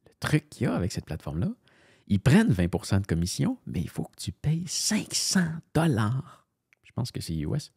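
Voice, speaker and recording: A man's voice: mid-range voice, wildly swooping pitch, moderate pace, creaky, darkish tone, breathy edge, no room sound, studio quality. A man with a Canadian French accent, a voice-over narrator, chatting casually and rambling with many fillers and restarts as he thinks aloud. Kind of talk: casual screen-share commentary.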